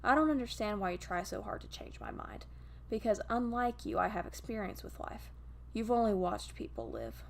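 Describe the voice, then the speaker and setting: A woman's voice: medium pitch, sing-song, normal speed, creaky, a little hiss or hum. A woman voicing a prickly, stubborn teenage boy in an audio story, curt and dismissive. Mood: smug.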